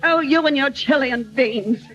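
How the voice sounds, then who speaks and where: An actress playing a haughty, scheming, glamorous gold-digging wife in a theatrical radio comedy style. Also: female voice, high-pitched, moderate pace. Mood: impatient, haughty. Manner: theatrical, coolly melodramatic. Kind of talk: old-time radio comedy acting. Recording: noisy muffled old radio, loud studio audience.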